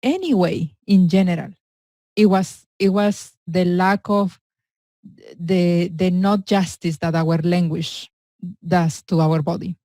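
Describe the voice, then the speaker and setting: A woman whose first language is Spanish, talking in an animated, halting way during a conversational discussion, laughing often. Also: lowish voice, dry recording. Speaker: co-host.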